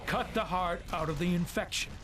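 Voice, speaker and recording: A man playing a ruthless, high-voiced general. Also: normal speed, noisy background, close to an everyday voice. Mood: stern. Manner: clipped, authoritative orders. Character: ruthless male general, cold and commanding. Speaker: military commander. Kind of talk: video game cutscene acting. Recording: voice under loud orchestral score.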